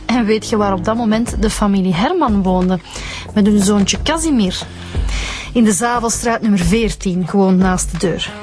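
A woman's voice: mid-range voice, normal speed, over music, lightly acted. An actress playing a keen, matter-of-fact female police investigator in a radio drama, conversational, with a Flemish accent. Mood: confident, explaining.

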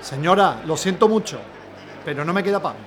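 A man's voice: high voice, lively intonation, fairly quick, fairly bright tone, slight background noise. A man playing a harried, apologetic neighbourhood baker in a radio drama.

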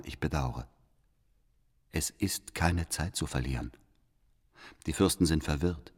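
An actor playing a secretive, pious informant who delivers an urging letter in a measured, solemn, insistent way in an audio drama. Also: male voice, rumbling low.

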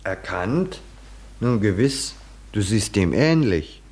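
Swooping, deep-voiced condescending admirer. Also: male voice, animated, slow, darkish tone, full character voice. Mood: mocking familiarity. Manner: familiar, patronising, theatrical. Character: condescending, eccentric male admirer of a legendary hero. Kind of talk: 1950s German radio drama acting.

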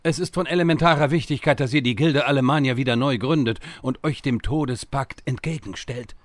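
An actor playing a bumbling, impatient time-travelling wizard who stammers and rambles in a comedic audio drama. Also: male voice, mid-range voice, brisk, no room sound, lightly acted. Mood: sheepish.

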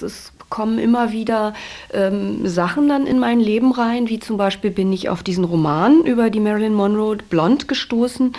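A woman's voice: mid-range voice, a little mellow. A woman in her forties speaking spontaneously in an interview, searching for words and repeating herself. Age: 40s. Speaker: actress interviewee.

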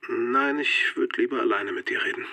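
A man's voice: mid-range voice, normal speed, sharp tone. A male actor playing an uneasy, well-mannered man in a crime radio drama, talking hesitantly and earnestly. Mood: guarded, uneasy. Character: David Sullivan, uneasy, well-mannered man confessing an affair.

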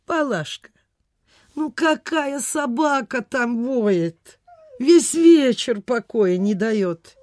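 A superstitious provincial landlady in old radio-theatre style. She talks slowly in folksy, old-fashioned phrases, full of sighs and fretting. The sound is dry. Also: fairly high pitch, animated, darkish tone, dry recording. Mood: anxious, fretful. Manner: folksy, sighing, gossipy, old-fashioned speech. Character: fretful superstitious provincial landlady, chatty amateur healer. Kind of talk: Soviet radio theatre acting.

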